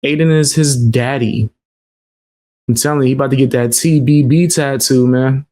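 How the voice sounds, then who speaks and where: A man's voice: medium pitch, steady tone, normal speed, dark tone. A man giving casual reaction commentary in a slangy, ribbing, incredulous way.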